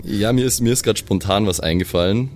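A lower-pitched man talks casually as a role-playing player. English slang slips into his loose chat, with faint music behind him. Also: fairly quick, darkish tone.

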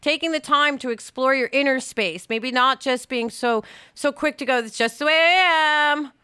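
A woman's voice: high-pitched, normal speed, bright, forceful tone, clean tone, no echo, studio quality. A woman hosting a podcast, talking in a casual conversational style. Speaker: podcast host.

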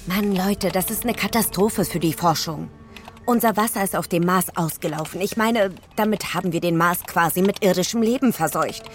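A woman's voice: lowish voice, moderate pace, soft background music, natural voice. A woman playing a worried astronaut scientist. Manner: anxious, protective objections. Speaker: scientist crew member. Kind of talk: audio drama acting.

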